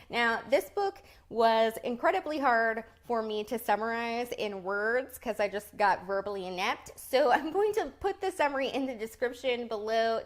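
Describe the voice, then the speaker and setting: Chatty upbeat woman book host. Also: fairly high pitch. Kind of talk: casual chat and book reading.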